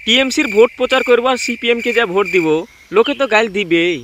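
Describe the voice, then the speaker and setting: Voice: medium pitch, quick pace, noisy background, close to an everyday voice. A performer voicing a grumbling male village party worker in a comic cartoon, talking in colloquial rural style.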